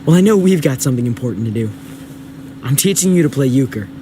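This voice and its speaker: A man's voice: mid-range voice, expressive, fast, mellow, slight background noise, close to an everyday voice. An actor playing an eager, cocky teenage boy in a video game drama, with a boyish, insistent way of talking. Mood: playful, eager.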